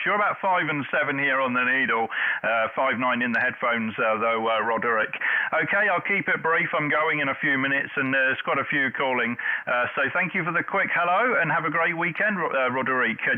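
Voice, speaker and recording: A man's voice: mid-range voice, brisk. A middle-aged man running an amateur radio net, chatting in call signs and signal reports. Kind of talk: radio contact chat in call-sign jargon. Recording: narrow, phone-like dull audio.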